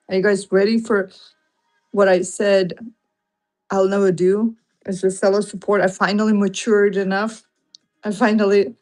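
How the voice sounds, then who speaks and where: A woman's voice: mid-range voice, darkish tone, low-quality recording. A woman from Lithuania with a Lithuanian accent, hosting a casual late-night livestream from home, greeting viewers and laughing often as she talks. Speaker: livestream seller host. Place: home room, sitting on carpet.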